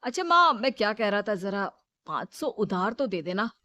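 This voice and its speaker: A woman playing a selfish, smooth-talking adult son with a casual, self-serving manner in a Hindi moral story. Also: mid-range voice, sing-song, moderate pace, bright, forceful tone, no echo. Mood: wheedling.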